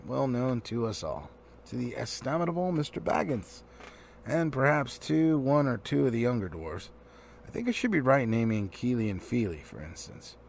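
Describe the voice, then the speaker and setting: Pompous dwarf leader, played by a male reader, formal, grandiose, swooping pitch, gravelly, storybook. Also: slight background noise. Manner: formal, long-winded, grandiose. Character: pompous, solemn dwarf leader making a long-winded speech.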